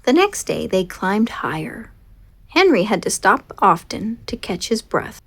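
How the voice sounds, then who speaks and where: A woman reading a picture story aloud for children in an expressive narrating style. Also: mid-range voice, measured pace, creaky, breathy edge. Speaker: storybook reader.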